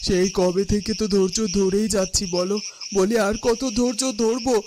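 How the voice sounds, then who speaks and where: A woman's voice: mid-range voice, steady tone, moderate pace, background noise, natural voice. A woman playing a distraught, stubborn village mother in a cartoon, plaintive and scolding in a melodramatic way. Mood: anguished.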